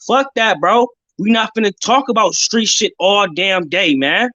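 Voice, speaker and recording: A man's voice: sits high, a little slow, bright, forceful tone, dry recording, highs cut off. A man speaking as a guest on a livestream panel, ranting fervently and with lots of profanity, often ending his lines with "bruh". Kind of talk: impassioned livestream rant.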